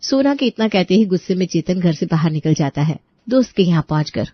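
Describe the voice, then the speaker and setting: A woman's voice: fairly low pitch, expressive, a little fast. Woman narrating a comic story, slightly dull sound. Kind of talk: scripted comic story narration in a chatty, audience-addressing manner.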